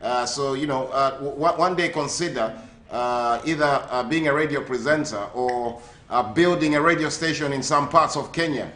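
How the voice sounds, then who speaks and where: A man's voice: medium pitch, normal speed, a bit bright, roomy sound. A man from Zambia hosting a sports radio show, asking friendly questions with many hesitations and fillers. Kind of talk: radio phone interview. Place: living in the UK.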